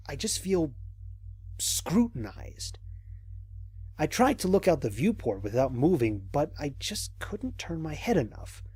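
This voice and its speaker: Man playing a crisp, cautious space explorer. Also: mid-range voice, darkish tone, no echo. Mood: uneasy, pensive. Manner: measured, reflective, formal diction with deliberate pauses. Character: cautious, observant male space explorer recording mission logs. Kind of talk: scripted audio drama monologue.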